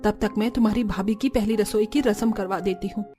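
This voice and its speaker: A woman playing a kindly middle-aged mother-in-law in a cartoon story, gently instructing and caring. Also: medium pitch, fairly quick, soft background music, lightly acted. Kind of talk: Hindi cartoon story acting.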